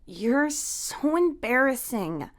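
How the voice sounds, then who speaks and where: A woman's voice: sits high, very animated, slow pace, voice pushed up, heavily acted. Woman voicing a whiny, easily embarrassed teenage girl. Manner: whiny, grumbling.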